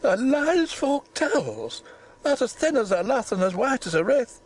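A woman voicing a blustering, impudent old Yorkshire gardener man in broad dialect, with a hoarse, shaky voice, in a storybook reading. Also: fairly high pitch, big pitch swings, unhurried, darkish tone, pitched above the performer's own voice. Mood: moved.

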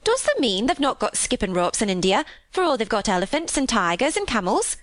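A woman reader puts on a young Yorkshire housemaid for an audiobook. The girl is cheerful and excitable, rambling on in homely dialect. Her voice is lifted and very lively, in a dry recording. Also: fairly high pitch, a little fast, no room sound, pitched above the performer's own voice. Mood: proud, eager. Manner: eager, rambling, folksy dialect storytelling.

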